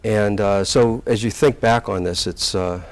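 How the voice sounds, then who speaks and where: Low-voiced man in his sixties, halting. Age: 60s. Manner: halting, with many fillers and restarts. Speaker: community college president, guest. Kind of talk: relaxed interview recollection.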